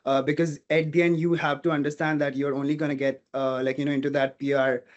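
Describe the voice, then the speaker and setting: A man's voice: fairly high pitch, level tone, quick pace, dull audio. A man from India with a Portuguese mother tongue, a hospitality student speaking earnestly as an interview guest, thinking aloud as he goes. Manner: earnest, thinking aloud with frequent "you know". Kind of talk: casual interview talk.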